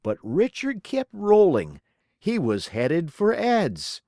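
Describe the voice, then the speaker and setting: Lady reading a kids book out loud, super slow n dramatic, bouncy pitch, dry close sound. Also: huge pitch swings, no echo. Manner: theatrical, deliberate, rhythmic. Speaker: read-aloud storyteller. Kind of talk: expressive children's storybook narration.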